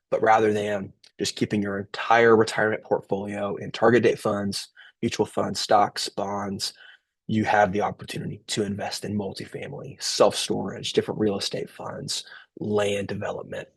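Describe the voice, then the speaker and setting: A man's voice: on the low side, vocal fry, breathy edge, no room sound. A young man with a head cold and a nasal voice, casually presenting a webinar over a video call. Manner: relaxed, filler-heavy, restarts. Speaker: webinar host.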